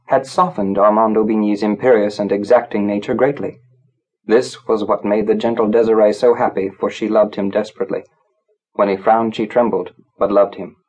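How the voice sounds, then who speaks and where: A man reads literary fiction as an audiobook narrator. His voice is fairly low and a touch husky, and he tells it gravely, in measured dramatic phrases. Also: a little mellow, rough recording.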